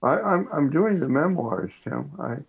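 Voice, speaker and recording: Older man with a gravelly, husky voice. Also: on the high side, very animated, muffled recording. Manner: measured, slightly formal phrasing. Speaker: professor guest. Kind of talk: reflective interview talk.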